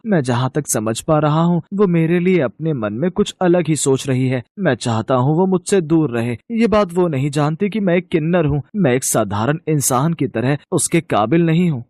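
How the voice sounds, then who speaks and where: A man's voice: fairly high pitch, darkish tone, no room sound, clean studio sound, natural voice. Man playing a troubled, earnest young schoolteacher.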